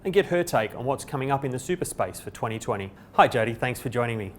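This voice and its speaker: Aussie guy hosting a business interview, talks fast, mid pitch, slight rumbly noise. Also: animated. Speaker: interviewer, host. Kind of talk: scripted corporate interview questions.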